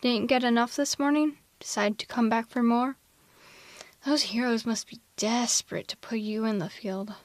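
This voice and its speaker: A woman playing a sardonic telepathic supervillain with a hidden tender side, in a theatrical, deliberate delivery for an ASMR roleplay audio drama. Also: a little high, dry recording, lightly acted. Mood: mocking.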